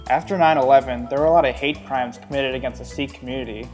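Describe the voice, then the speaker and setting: A man's voice: mid-range voice, steady tone, fast talker, natural voice. A man playing a stand-up comedian, delivering a wry, matter-of-fact routine. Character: deadpan male Sikh stand-up comedian. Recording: echoey venue reverb, faint music.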